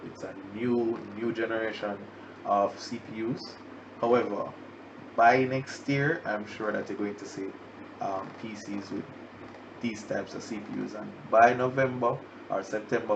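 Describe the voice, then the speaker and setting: A man's voice: lowish voice, gravelly. A man with a Jamaican accent hosting a casual solo livestream podcast, nasal and congested with the flu, rambling loosely with many fillers and restarts. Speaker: podcast host. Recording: dull lo-fi stream audio with background noise.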